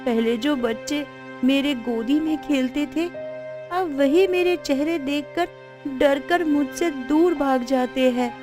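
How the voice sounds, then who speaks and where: A woman's voice: high voice, slow pace. A woman playing sorrowful village women in a cartoon moral story, speaking in a plaintive, dramatic way. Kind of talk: Hindi cartoon story dialogue. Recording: background music under the voice. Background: music.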